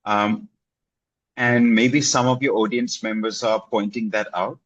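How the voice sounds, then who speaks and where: A husky man talks in a relaxed, conversational way as an English tutor. He speaks encouragingly and keeps checking in with his listener. The sound is dry and close. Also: on the low side, a little slow, no room sound, muffled recording. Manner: encouraging, repeats himself for emphasis with "okay?" and "right?". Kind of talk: casual video-call conversation.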